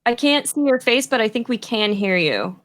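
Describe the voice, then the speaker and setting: A woman's voice: on the high side, lively intonation, normal speed, fairly bright tone, no echo. A woman moderating a casual live-streamed conversation, passing questions along to guests. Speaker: host, moderator.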